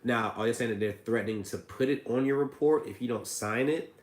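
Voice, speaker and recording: A man with a hoarse, raspy voice from a cold, hosting a casual livestream and answering viewer questions about credit repair. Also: mid-range voice, a little fast, roomy sound. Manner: reads viewer comments aloud, chatty and loose.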